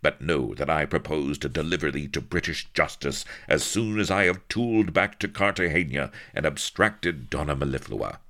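A man's voice: extremely low pitch, animated, normal speed, hardly any pauses, a bit bright, full character voice. A man voicing a stiff, prim sea captain who speaks in pompous, archaic phrases. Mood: icy.

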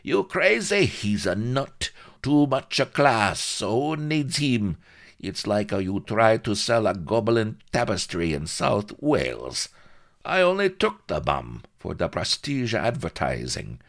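Croaky, surly slave trader. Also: male voice, lowish voice, big pitch swings, slow pace, a bit bright, voice pushed up, exaggerated voice. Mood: grumbling, indignant. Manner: broken English, blunt.

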